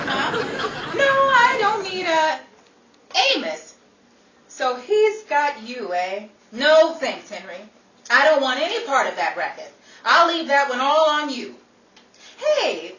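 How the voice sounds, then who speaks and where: A woman's voice: sits high, bright, forceful tone, exaggerated voice. A woman playing a vain, wisecracking Hollywood actress in an old-time radio comedy style, theatrical and sassy. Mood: chatty. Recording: roomy, distant, dull sound with faint noise.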